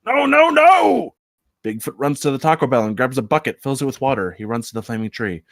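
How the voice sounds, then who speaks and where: A man's voice: mid-range voice, quick pace, no room sound. A man narrating, reading screenplay action aloud at a table read. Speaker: script reader, narrator.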